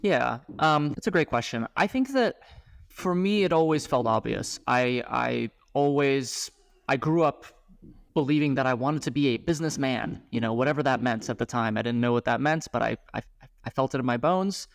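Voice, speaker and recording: A man in his thirties, a startup founder, talking about his work in a casual podcast interview, halting, with frequent restarts and fillers. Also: mid-range voice, vocal fry, dry recording, studio quality. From grew up abroad, moved to the US at eleven.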